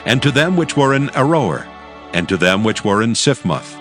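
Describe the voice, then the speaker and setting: A man narrating dramatized scripture in a solemn, measured, formal way. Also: mid-range voice, sing-song. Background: music.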